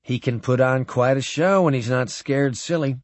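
A man's voice: medium pitch, moderate pace, a little mellow, no echo, lightly acted. A man voicing a sardonic young naval officer making whispered asides. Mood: wry.

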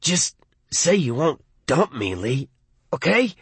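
A man voicing a sullen, desperate teenage boy who talks in a strained croak. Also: fairly high pitch, very animated, slow pace, no room sound, voice pushed up, exaggerated voice.

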